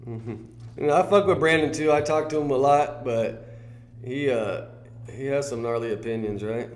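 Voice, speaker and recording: A man with a Californian accent, a guest in a casual conversation, telling stories in a relaxed, rambling way. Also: mid-range voice, unhurried, long pauses, slight background noise.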